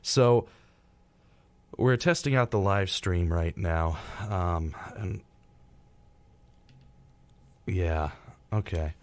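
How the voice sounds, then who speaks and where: Very deep-voiced young American man. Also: extremely slow, long pauses, darkish tone, dry recording. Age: young adult. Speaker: college radio co-host. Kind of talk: casual live radio chat. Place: college radio studio.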